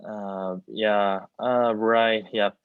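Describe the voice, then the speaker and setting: A man's voice: lowish voice, flat delivery, very slow, smooth. A young man, a native Korean speaker with a Korean accent, talking hesitantly on a panel and searching for his words over a video call. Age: young adult. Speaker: student playwright, panelist. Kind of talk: casual panel discussion. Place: plain room, indoors. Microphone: video call, wireless earbud worn. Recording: roomy, dull video-call audio.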